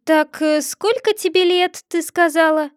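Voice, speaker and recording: A woman's voice: very high voice, dry recording, clean studio sound, voice pushed up. A woman voicing a pompous, touchy male egg figure who lectures and takes offense easily. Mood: triumphant, scolding. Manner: haughty, lecturing, quick to take offense.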